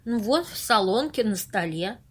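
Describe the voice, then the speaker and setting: A woman's voice: mid-range voice, expressive, normal speed, a bit bright, slightly rough. A woman acting an earnest, anxious and strict character in an amateur audio play, speaking in a fretful, reproving way. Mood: worried.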